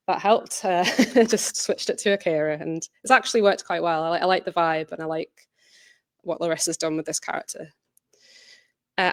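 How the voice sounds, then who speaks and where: A woman's voice: fairly low pitch, clean tone, no room sound. A woman giving an informal behind-the-scenes talk as a drama director, in a hesitant, conversational way that often rephrases. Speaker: director and writer of an audio drama, presenter.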